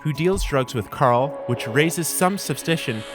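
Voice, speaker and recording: A man's voice: fairly high pitch, animated, unhurried. A man giving a scripted, informal story recap with wry, joking asides. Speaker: video narrator recapping a visual novel. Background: music under the voice.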